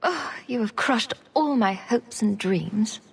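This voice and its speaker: A woman's voice: on the high side, animated, takes it slowly, vocal fry, husky, full character voice. An actress playing a confident female adventurer in a video game, with a dry, teasing, sardonic manner. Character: confident, dryly witty female champion adventurer.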